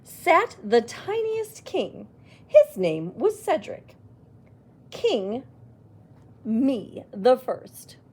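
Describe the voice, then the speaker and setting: Female library storyteller, unhurried picture-book read with lots of swoops and small reacting asides. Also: sits high, husky. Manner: expressive, exclaiming little reactions. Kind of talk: reading a picture book aloud to children with asides.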